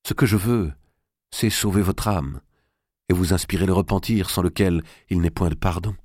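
A man reading an audiobook, voicing a pious, gentle young queen who speaks softly and with quiet firmness. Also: deep voice, normal speed, dry recording, clean studio sound. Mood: earnest, gentle. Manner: soft, hushed, restrained.

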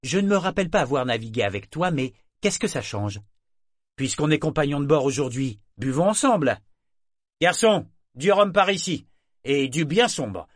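A man's voice: on the high side, very animated, moderate pace, dry recording, studio quality, pitched above the performer's own voice. A man voicing a cunning young traveler who talks in an affable, sly way. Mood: sly, friendly. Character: cunning young male traveler, smooth-talking.

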